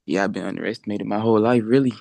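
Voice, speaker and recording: A young football player on a video call, speaking haltingly and searching for words. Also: male voice, on the low side, vocal fry, darkish tone, dry recording. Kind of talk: casual video call chat.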